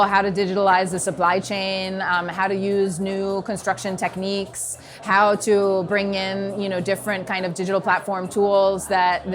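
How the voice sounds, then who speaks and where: A woman from New York with an American accent, talking fluently as an interview guest with plenty of "you know" fillers. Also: mid-range voice. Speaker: strategy consultant, guest. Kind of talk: conversational interview. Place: busy event venue in a container.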